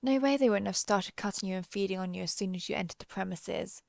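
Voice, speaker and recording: A woman playing a cool, menacing, centuries-old vampire hunter in a roleplay audio drama, deliberate and sardonic as she interrogates the listener. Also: medium pitch, vocal fry, darkish tone, dry recording, lightly acted. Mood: knowing, sardonic. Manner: deliberate, interrogating, dryly threatening.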